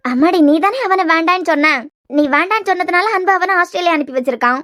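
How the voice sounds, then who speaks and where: A woman's voice: very high-pitched, fast talker, a bit bright. An actress playing a motherly middle-aged aunty in a TV serial, consoling a crying girl in a firm voice. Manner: firm, consoling, scolding lightly. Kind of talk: TV serial acting.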